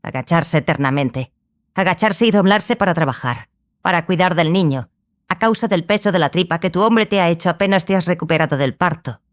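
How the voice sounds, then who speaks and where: A woman playing a stern, obsessive scholarly sorceress in a dramatized audiobook, speaking gravely and deliberately, with pauses. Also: on the low side, lightly acted. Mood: wistful, bitter.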